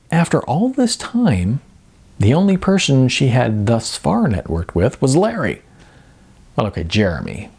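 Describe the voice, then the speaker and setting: Audiobook narrator reading a novel, lots of fry, kinda husky. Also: mid-range voice, darkish tone.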